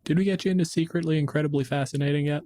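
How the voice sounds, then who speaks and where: A man's voice: fairly high pitch, fairly even intonation, very fast, dark tone. A man co-hosting a podcast, chatting casually about tabletop games in a blunt, profane, joking way. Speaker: podcast host.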